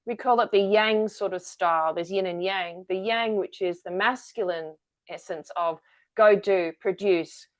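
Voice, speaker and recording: A woman's voice: medium pitch, unhurried. A woman, a guest telling her story candidly in an interview. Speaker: guest, energy-work founder. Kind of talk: conversational interview.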